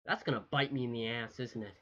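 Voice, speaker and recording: A man's voice: medium pitch, steady tone, fast talker, sharp tone, lo-fi sound. A man doing a game let's play, commenting casually and reading the on-screen dialogue aloud. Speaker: gaming YouTuber.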